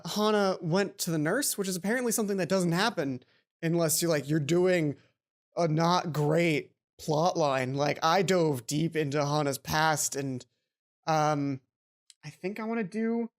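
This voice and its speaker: A woman hosting a let's play video in a casual, rambling, self-deprecating way, given to exclamations. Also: lowish voice. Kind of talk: casual game commentary with read-aloud dialogue. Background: game music.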